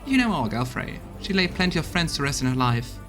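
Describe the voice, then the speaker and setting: A man's voice: on the high side, very animated, a little fast, music in the background. A man voicing a loyal, weary female army officer in a video game, talking in an earnest, folksy way. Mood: candid, confiding. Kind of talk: voiced video game dialogue.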